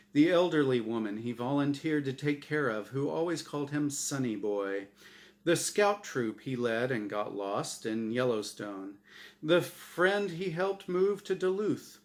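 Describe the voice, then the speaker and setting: Animated, high-pitched male storyteller. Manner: deliberate, expressive storytelling. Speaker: author reading his own story. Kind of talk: dramatic scripted narration of a humorous story.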